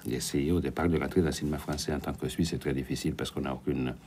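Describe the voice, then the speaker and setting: A man's voice: a bass voice, steady tone, racing, darkish tone. A man from Ticino, a cinematographer telling his career story in a radio interview, candid and sometimes trailing off. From Ticino, Switzerland. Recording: radio studio with faint background noise.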